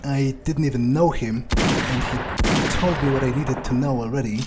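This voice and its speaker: A man's voice: medium pitch, normal speed, heavy background noise, natural voice. A man playing a cocky, nonchalant vampire, muttering his thoughts aloud in character as game commentary. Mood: indifferent. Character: nonchalant, cocky male vampire, casually menacing. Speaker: gameplay streamer role-playing a character.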